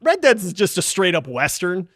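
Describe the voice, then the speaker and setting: A man's voice: high voice, wildly swooping pitch, normal speed, fairly bright tone, no echo, clean studio sound. A man hosting a podcast, chatting casually in an informal, buddy-like way full of slangy filler. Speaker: podcast host. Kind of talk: casual chat.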